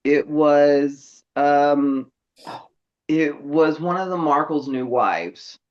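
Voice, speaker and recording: A man in his thirties telling stories in casual chat, animated and laughing often, acting out other people's lines. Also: fairly high pitch, drawn-out words, muffled recording. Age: 30s. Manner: animated, laughs often, mimics voices in quotes.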